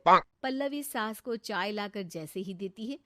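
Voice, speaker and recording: A woman's voice: medium pitch, animated, a little slow, pushed and bright, no room sound, studio quality. A woman narrating a scripted cartoon story as a storyteller.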